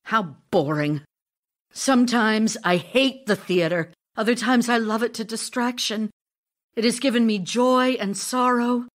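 A woman's voice: fairly high pitch, a little slow, natural voice. Woman acting a passionate, lovesick actress wife. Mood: wistful, passionate. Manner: theatrical, emotional, confiding. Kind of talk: audio drama reading of love letters.

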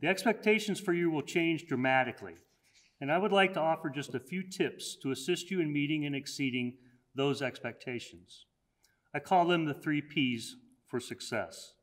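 An older man speaks at a college podium, giving a prepared commencement address in an earnest, measured way. His voice sits somewhat high for a man. He slows right down to stress the lines he wants to land.

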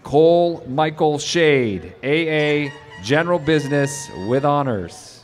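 A man reading out graduates' names and degrees at an outdoor commencement, in a slow, measured way over a podium microphone. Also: on the high side, expressive, faint music behind. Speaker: graduation name reader. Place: outdoor stadium commencement stage.

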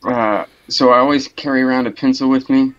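A young man casually telling a story in a halting way, full of "like", over voice chat. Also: medium pitch, fairly even intonation, slight background noise. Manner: halting, peppered with "like". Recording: dull lo-fi voice chat.

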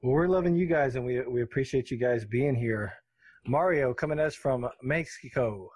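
A man's voice: medium pitch, moderate pace, darkish tone, no room sound. A man hosting a podcast in an upbeat, chatty, jokey, unscripted way. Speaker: podcast host.

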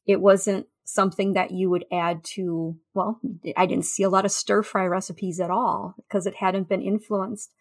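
A woman guest in a conversational podcast interview, telling anecdotes in a halting, thinking-aloud way. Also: medium pitch, flat delivery. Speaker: interview guest, food history researcher.

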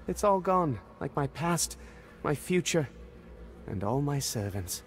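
Petulant deluded prince in a video game, high voice, swoops all over, theatrical. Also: male voice, sing-song, slow. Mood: forlorn. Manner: theatrical, indignant. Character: self-pitying deluded royal heir, entitled and petulant. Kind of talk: video game cutscene acting.

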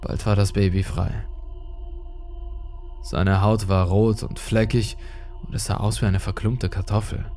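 A man narrates a horror story in a fairly low voice. His delivery is scripted, measured and full of suspense. Dark music plays clearly behind him.

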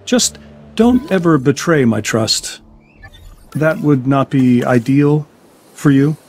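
An actor playing a cool, menacing, commanding trade boss in a video game, speaking in a measured, threatening way. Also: male voice, mid-range voice, lively intonation, a little mellow, soft background music. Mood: quietly threatening.

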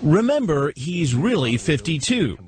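A male narrator reads a scripted voice-over for a television investigation. His pitch moves in a lively way while his delivery stays wry and matter-of-fact. A faint hiss sits under the studio recording. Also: unhurried.

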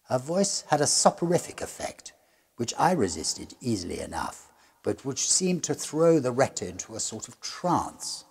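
A middle-aged man with a husky, gravelly voice narrating a gothic ghost story in a measured, suspenseful, theatrical way. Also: mid-range voice, big pitch swings.

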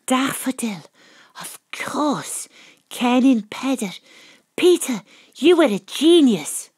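Here is a female private detective, wry and self-mocking, telling her case in an audio drama. Her voice is husky and recorded very dry, and she relishes every word with theatrical flair. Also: high-pitched, very animated, takes it slowly, a little mellow, no room sound, heavily acted. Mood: urgent, excited. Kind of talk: first-person detective audio drama narration and dialogue.

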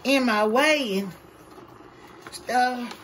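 A woman's voice: fairly high pitch, drawn-out words, fairly bright tone, a little hiss or hum. A woman, chatting casually to viewers as she cooks in her home kitchen, calling them y'all. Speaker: vlogger. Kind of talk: casual cook-with-me vlog. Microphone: camera held by someone else.

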